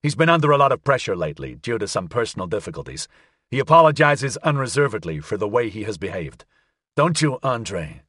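A man voicing a practical, smooth-talking male private detective, brisk and wry, in an audiobook. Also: lowish voice, very animated, fairly bright tone, no room sound, clean studio sound, exaggerated voice. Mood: placating. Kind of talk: audiobook character acting.

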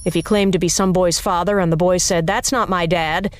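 A woman narrating an audiobook in an even, measured storytelling manner. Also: on the low side, faint music behind.

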